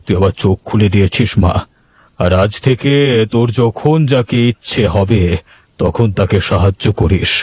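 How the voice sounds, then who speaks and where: A man voicing a frail, dying old grandfather in a cartoon, speaking weakly and haltingly. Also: fairly low pitch, darkish tone, breathy edge. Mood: remorseful, weak. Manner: weak, slow, halting. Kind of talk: cartoon dialogue acting.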